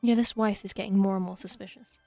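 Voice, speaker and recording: A woman's voice: mid-range voice, steady tone, fast, vocal fry, music in the background. A woman giving casual, wry commentary as she plays through a video game. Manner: wry, dry remarks. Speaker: gaming streamer. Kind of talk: casual let's play commentary.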